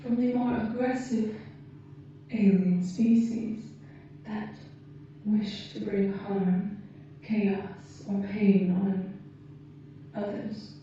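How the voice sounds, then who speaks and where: Woman acting as gentle alien woman, ASMR roleplay, very mellow tone, measured formal phrasing, caring, distant muffled recording. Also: medium pitch, steady tone. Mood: solemn, calm. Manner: measured, formal, precise phrasing with deliberate pauses. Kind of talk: ASMR roleplay, slow soothing delivery. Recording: muffled, echoey, distant, faint noise.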